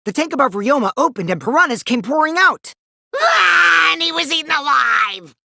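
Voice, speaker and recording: A man's voice: very high voice, sing-song, moderate pace, creaky, bright, forceful tone, shouting, a little hiss or hum, heavily acted. An actor voicing a mischievous, taunting teenage boy who lies for fun in a video game, theatrical and teasing, swinging from sly to gleeful. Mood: excited, insistent. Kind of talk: animated video game voice acting.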